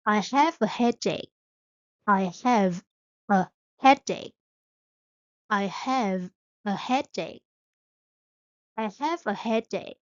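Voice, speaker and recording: A woman teaching English phrases, saying each one several times slowly and evenly, with short translations in between. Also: medium pitch, dry recording, dull audio. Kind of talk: slow repeat-after-me phrase drills.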